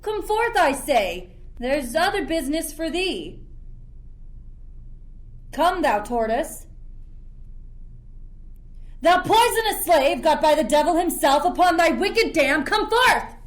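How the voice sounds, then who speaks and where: A woman's voice: sits high, sing-song, takes it slowly, pauses often, exaggerated voice. A young woman playing an imperious sorcerer who rules an island, in theatrical Shakespearean verse for a radio play. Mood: furious, contemptuous. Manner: commanding, theatrical. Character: Prospero, imperious, stern sorcerer ruling an island.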